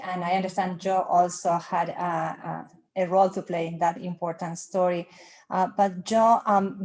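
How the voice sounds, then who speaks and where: Low-voiced woman moderating a panel. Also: darkish tone. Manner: measured, asks long framed questions.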